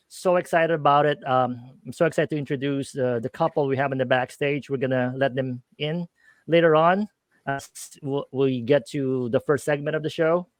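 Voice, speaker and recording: A man's voice: on the high side, no room sound. Upbeat Filipino-accented man, dry mic. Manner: upbeat, hesitant with many fillers. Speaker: podcast co-host. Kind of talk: casual chat.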